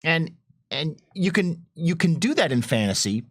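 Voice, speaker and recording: A man's voice: on the high side, very animated, moderate pace, fairly bright tone, dry recording, studio quality. A man chatting as a panelist, emphatic, with stop-start phrasing and repeated words. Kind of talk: casual panel discussion.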